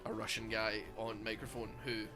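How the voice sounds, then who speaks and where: A man's voice: lowish voice, completely flat delivery, moderate pace, over music. A Scottish man with a Glaswegian accent and a lisp, mumbling and rambling in casual chat while streaming a game. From Scotland. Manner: mumbling, rambling with many fillers.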